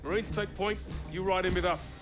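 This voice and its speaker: An actor playing a blunt, proud infantry staff sergeant in a cinematic military video game. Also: male voice, high voice, fairly even intonation, brisk, over music, lightly acted. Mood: blunt, challenging. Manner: blunt, insistent.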